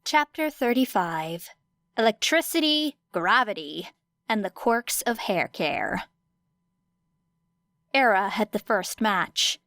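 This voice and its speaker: Slow, deliberate woman narrating fiction. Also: medium pitch, dry recording. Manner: deliberate, expressive phrasing with weighty pauses. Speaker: audiobook narrator. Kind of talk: dramatic scripted fiction narration.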